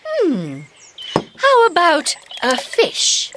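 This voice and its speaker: A woman reading a children's storybook aloud in a slow, exaggerated, simple teaching style. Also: very high-pitched, huge pitch swings, background noise. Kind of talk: read-aloud storybook narration.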